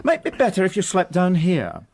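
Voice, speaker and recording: An actor playing a breezy, opinionated but generous British gallery manager in a radio drama, urbane and wry. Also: male voice, high-pitched, huge pitch swings, a little fast, vocal fry, darkish tone, full character voice. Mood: kindly, brisk.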